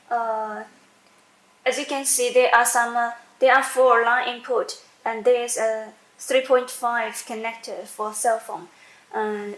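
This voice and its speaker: A woman giving an unscripted step-by-step software demonstration, halting as she searches for words and repeats phrases. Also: on the high side. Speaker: software tutorial presenter. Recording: echoey room with faint background noise.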